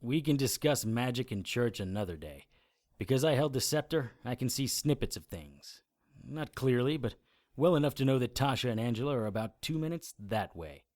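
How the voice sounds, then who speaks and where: A man acting a brisk, commanding occult adventurer in an audio drama, instructive and matter-of-fact. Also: medium pitch, expressive, a little mellow, close to an everyday voice. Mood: grave, explaining. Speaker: lead actor and narrator. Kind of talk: audio drama acting and first-person narration.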